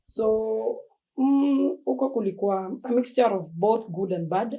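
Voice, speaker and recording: A woman's voice: fairly high pitch, a little slow, low-quality recording. A young Kenyan woman with a Kenyan accent, a podcast guest in casual chat, easily mixing slang and English. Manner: relaxed, mixes Swahili slang and English.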